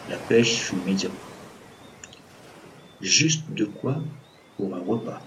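A man with a lowish voice narrates an audio story. His delivery is scripted storytelling in the past tense. Faint background noise accompanies the voice. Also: low-quality recording.

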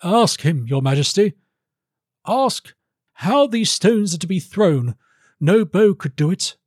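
Scornful baron, performed by a man, high-pitched, haughty, dismissive, animated, storybook character. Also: unhurried, slightly rough, dry recording, studio quality, full character voice. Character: haughty, scornful male baron, master of artillery. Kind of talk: audiobook character voice.

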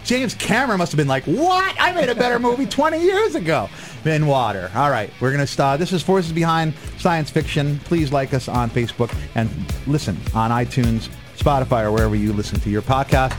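A man co-hosting a radio show, chatting casually about pop culture with animated, joking exasperation. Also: a little high, a little fast, music in the background.

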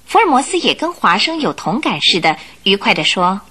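A woman narrating a radio drama in an expressive, scripted storytelling style. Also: mid-range voice, brisk, husky.

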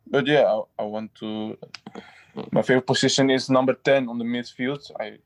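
Halting footballer man, flat voice. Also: medium pitch, no room sound, poor audio. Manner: halting, restarts often, simple phrasing. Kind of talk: interview answers.

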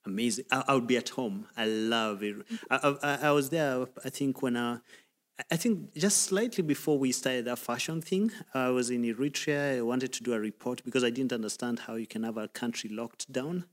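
A man's voice: mid-range voice, moderate pace. A man with a Kenyan accent hosting a casual podcast interview, often repeating words as he talks. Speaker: podcast host.

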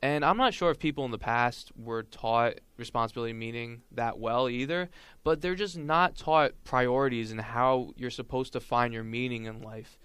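A young man talks through his ideas in long, searching sentences, hosting a relaxed conversation with a friend. His voice is smooth and resonant, recorded close and dry with no room sound around it. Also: medium pitch, normal speed, no room sound. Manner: earnest, reflective, speaks in long winding sentences. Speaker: podcast host. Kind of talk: casual chat.

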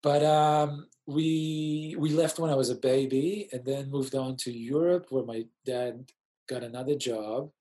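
A man in his forties, a musician, speaks as a guest in a relaxed interview. He explains things thoughtfully and gently, pausing on fillers as he goes, in a mid-pitched voice. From Israel. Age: 40s. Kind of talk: casual interview chat.

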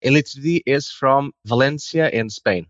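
A man's voice: medium pitch, moderate pace, a bit bright, dry recording. A slightly dull, lo-fi recording of a man with a Portuguese accent and a mid-range voice. He answers as a guest in a conversational interview, with hardly any room sound around him. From Lisbon, Portugal. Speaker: guest, video game character artist.

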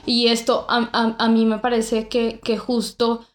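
A woman's voice: on the high side, completely flat delivery, a little slow, echoey room, slight background noise. A woman speaking as a guest in an academic discussion, hesitant and full of fillers and tag questions.

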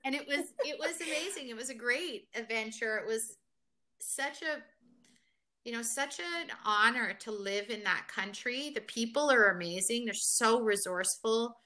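A woman's voice: fairly high pitch, expressive, moderate pace, bright, forceful tone. A woman from Kenya, a guest in a conversational interview, telling her story reflectively with many fillers.

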